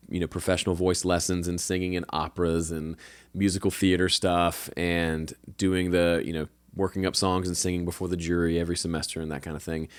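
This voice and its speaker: A man's voice: deep voice, no room sound. A man with a slightly nasal voice, hosting a show and casually answering listener questions from his home studio. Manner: relaxed, conversational, with fillers and restarts. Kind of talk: casual advice talk.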